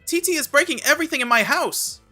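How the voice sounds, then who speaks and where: Woman playing an excitable high-pitched young boy. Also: quick pace, soft background music. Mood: flustered. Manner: exclamatory, flustered. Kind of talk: fan comic dub acting.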